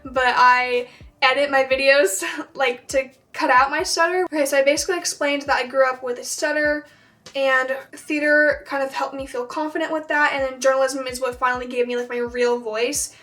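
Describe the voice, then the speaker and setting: A woman's voice: high voice, little pitch movement, moderate pace, pushed and bright, distant mic. A young woman in her late teens who speaks with a stutter, talking casually to camera with frequent filler words. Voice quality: has a stutter. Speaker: vlogger.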